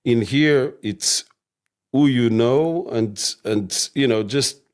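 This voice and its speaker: A man's voice: mid-range voice, slow. A man with a Portuguese-Australian accent, rambling casually as an interview guest and often asking "right?". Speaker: real estate guest.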